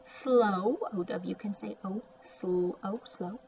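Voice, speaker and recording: A woman leads an early phonics lesson at a very unhurried pace, breaking words into sounds and saying them again. She adds chatty little comments as she goes. The recording is muffled and a little distant, with faint noise behind her voice. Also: medium pitch, gravelly, a little mellow. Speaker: early reading teacher. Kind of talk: word-by-word phonics lesson for young children.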